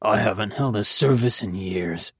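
A gruff, reclusive priest, played by a male audiobook reader, curt, scornful and easily irritated. The voice is kept low-key and sounds very dry and close. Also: measured pace, no echo. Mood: weary, scornful. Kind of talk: audiobook character dialogue.

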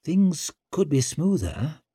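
A man's voice: on the high side, very animated, takes it slowly, mellow, slightly rough, no room sound, clean studio sound, voice pushed up, exaggerated voice. A man voicing a weary, self-doubting king in an audiobook, with a wry, rueful manner.

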